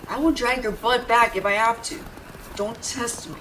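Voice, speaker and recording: A woman's voice: fairly high pitch, moderate pace, far from the mic, background noise, close to an everyday voice. A woman playing a brash but caring tsundere young woman in an intimate audio roleplay, scolding with tender worry underneath. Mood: sarcastic, exasperated. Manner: brusque, scolding, exasperated, with tender worried undertones. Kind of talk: intimate dramatic audio roleplay addressed to the listener.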